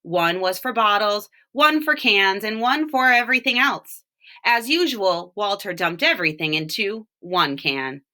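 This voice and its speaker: A woman reading a children's storybook aloud, with expressive, deliberate phrasing and short explanations. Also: mid-range voice. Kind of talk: read-aloud storytelling with brief picture explanations.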